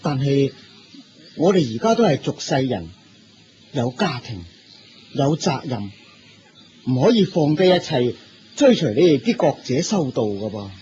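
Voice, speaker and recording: Radio drama everyman: curious, earnest, colloquial Hong Kong Cantonese accent, muffled lo-fi recording with clear noise. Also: mid-range voice, very animated, unhurried, long pauses, warm tone. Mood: questioning. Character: eager, plain-spoken worldly layman, respectful and curious. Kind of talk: Buddhist radio drama acting.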